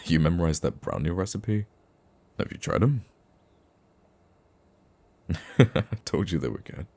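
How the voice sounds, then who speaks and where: Male voice actor as gruff supervillain, extremely low pitch, gravelly fry, dry affectionate teasing, intimate ASMR roleplay. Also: sing-song, measured pace, a little mellow, full character voice. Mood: soft, amused. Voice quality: very deep, gravelly. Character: gruff, teasing supervillain with a secret soft side.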